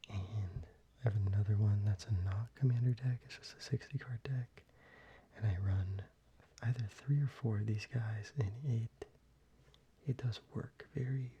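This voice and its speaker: An ASMR creator whispering softly and closely, with frequent ums and restarts while showing their collection. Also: mid-range voice, slightly rough, muffled recording.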